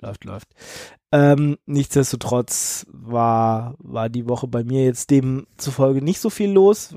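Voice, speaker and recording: A man's voice: a little high, mellow, dry recording, studio quality. A man hosting a podcast, chatting casually and rambling with many fillers, stuffy-nosed from a cold. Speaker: podcast host. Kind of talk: casual chat.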